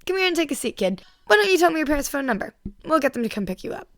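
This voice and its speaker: A woman voicing a loud, playful male hero with an exuberant, exclaiming delivery, in an audio fan story. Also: high-pitched, sing-song, no echo, clean studio sound, pitched above the performer's own voice. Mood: welcoming. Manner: exuberant, exclaiming, drawn-out words.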